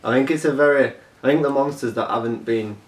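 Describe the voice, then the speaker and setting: A man's voice: mid-range voice, brisk. A man co-hosting in casual chat, rambling informally and often correcting himself as he goes. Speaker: co-host. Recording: roomy echo, distant mic, music.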